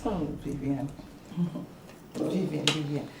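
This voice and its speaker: A woman's voice: sits low, slow pace, heavy background noise. An actress playing a sarcastic sister who lectures and mocks her brother's wife.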